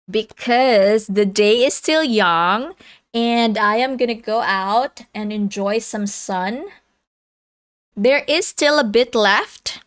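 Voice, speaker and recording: A woman's voice: mid-range voice, clean tone. A woman in her thirties hosting a casual livestream, chatting with viewers, laughing often and reading the chat aloud. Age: 30s. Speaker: livestream host.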